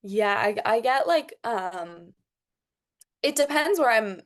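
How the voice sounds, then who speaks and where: A woman's voice: fairly high pitch, animated, slow. A young woman with a mixed international accent and long vowels, giving thoughtful interview answers with frequent hesitations. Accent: mixed international, elongated vowels from Thai. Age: young adult. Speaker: podcast guest, international student.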